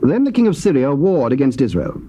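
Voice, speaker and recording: A man narrating scripture in a measured, stately reading. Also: mid-range voice, big pitch swings, mellow. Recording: faint tape hiss.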